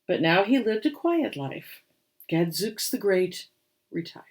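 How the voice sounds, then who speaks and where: A woman reading a rhyming picture book aloud as storyteller and host, with expressive, theatrical delivery. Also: medium pitch, slow pace.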